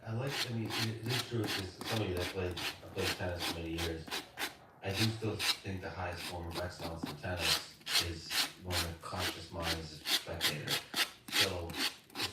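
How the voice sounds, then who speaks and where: Male host, halting and self-critical, echoey distant recording with loud noise. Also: low-pitched, steady tone. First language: Russian. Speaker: podcast host. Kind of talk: casual conversation.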